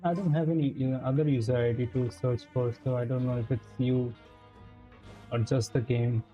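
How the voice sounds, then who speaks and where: A man's voice: mid-range voice, fairly even intonation, normal speed, dark tone. A man livestreaming a video game, chatting casually with viewers as he plays. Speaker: game streamer. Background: game music.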